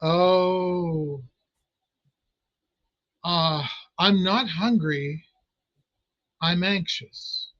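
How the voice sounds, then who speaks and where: A man's voice: sits high, extremely slow, pauses often, a bit bright, no room sound, muffled recording. A man, a clinical hypnotist, explaining his work in a casual interview, with frequent fillers and restarts. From Italian American family.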